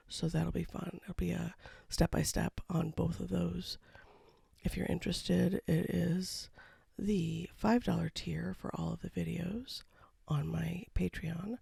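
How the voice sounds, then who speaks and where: An artist talking casually over a painting time-lapse, with a froggy voice from a cold and a low-key, rambling manner. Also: creaky, dark tone, breathy edge, dry recording, studio quality. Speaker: watercolor artist. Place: home studio.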